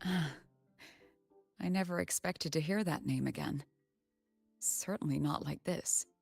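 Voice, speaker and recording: An actress playing a composed, authoritative professor in a video game, speaking in a measured, courteous way. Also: female voice, low voice, creaky, darkish tone, breathy edge, exaggerated voice. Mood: thoughtful. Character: composed, authoritative female professor and academy president. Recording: music under the voice.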